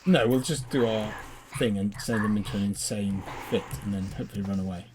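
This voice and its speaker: A man's voice: on the low side, fairly quick. A man giving casual co-host commentary on a video game playthrough. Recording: faint background noise, keyboard typing.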